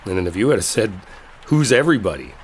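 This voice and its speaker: A man acting an earnest, lovestruck coworker in an intimate roleplay audio, speaking in a halting, colloquial, confessional way. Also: on the low side, very animated, a little hiss or hum, poor audio. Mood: tender, regretful. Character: earnest lovestruck male coworker clearing up a misunderstanding. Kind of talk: intimate dramatic roleplay audio, one-sided conversation. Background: rainstorm ambience.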